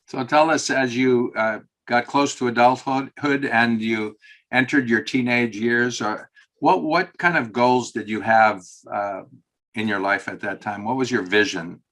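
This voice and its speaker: A man's voice: mid-range voice, unhurried, fairly bright tone. A man hosting an interview, asking loose, rambling questions with many fillers. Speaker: interviewer host.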